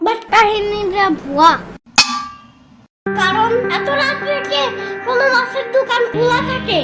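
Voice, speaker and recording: A woman voicing a small, cheeky, easily spooked child in a comic cartoon, quick to scold back. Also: extremely high pitch, over loud music. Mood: sassy, scolding.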